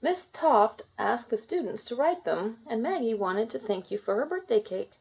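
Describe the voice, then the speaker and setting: A woman's voice: fairly high pitch, big pitch swings, normal speed, creaky, darkish tone, slightly rough, pitched above the performer's own voice, exaggerated voice. A woman voicing a friendly, polite village mother who explains things in a children's storybook.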